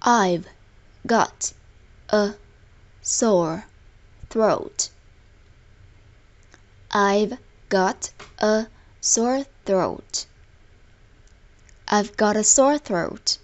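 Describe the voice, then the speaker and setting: Female language-lesson narrator, medium pitch, very slow scripted read with careful word-by-word enunciation. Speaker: language teacher.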